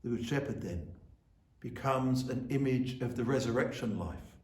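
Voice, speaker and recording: A man giving a reflective scripted sermon as a church officiant, solemn and measured in his phrasing. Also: medium pitch, a little mellow. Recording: echoey room, distant microphone.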